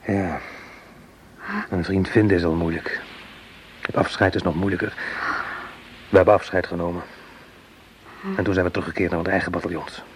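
A man acts a troubled soldier in a radio play, on a slightly noisy old recording. His voice is fairly low. He speaks earnestly and haltingly, with a defensive, dramatic edge. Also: fairly even intonation, close to an everyday voice. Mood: grave, haunted. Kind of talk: Dutch radio drama acting.

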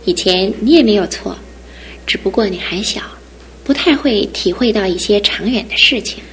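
An actress playing a caring young bride who speaks calmly and with gentle firmness. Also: female voice, medium pitch, normal speed, a little hiss or hum, close to an everyday voice, low-quality recording. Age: young adult. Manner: warm, calm, gently firm. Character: caring new bride, steady and sensible. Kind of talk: 1970s radio drama acting.

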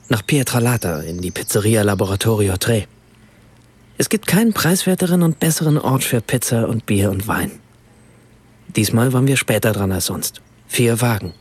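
A man playing a writer who narrates a radio play in a measured, precise way. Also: mid-range voice, husky. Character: German writer narrating a remembered family evening in Rome.

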